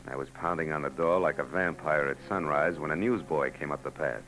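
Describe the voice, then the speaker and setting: A world-weary private eye. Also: male voice, extremely low pitch, fairly quick, fairly bright tone, poor audio. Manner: wry, dry, world-weary. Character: hard-boiled male private eye. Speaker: narrator and lead. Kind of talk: 1940s radio acting. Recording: old radio broadcast with background music.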